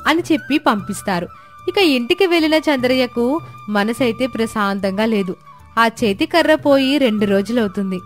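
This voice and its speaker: A woman's voice: fairly high pitch, animated, moderate pace, soft background music. A woman narrator telling a scripted moral story.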